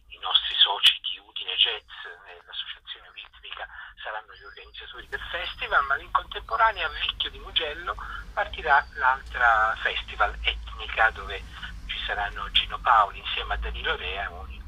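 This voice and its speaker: A man speaking as an association spokesman in an informal interview, explaining a project and pausing to search for words. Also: lowish voice, bright, forceful tone, slight background noise, poor audio. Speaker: spokesman of a jazz festival association being interviewed.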